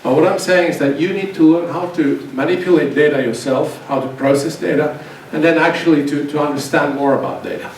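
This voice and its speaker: A man's voice: a little high, fairly quick, few pauses. Hesitant man in echoey hall. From Finland. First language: Swedish. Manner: hesitant, many restarts and repeated words. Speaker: guest speaker, university lecturer. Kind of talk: seminar talk to students. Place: lecture hall. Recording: echoey hall, distant mic, faint noise.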